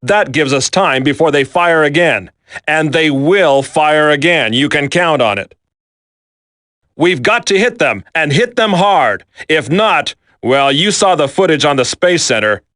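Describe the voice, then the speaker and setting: No-nonsense commando leader, game dialogue, higher-than-average pitch, authoritative, emphatic, dry sound. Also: male voice, lively intonation, unhurried, a bit bright, no room sound. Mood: stern. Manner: authoritative, clipped, emphatic briefing. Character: stern, no-nonsense male special forces team leader. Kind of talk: video game voice acting.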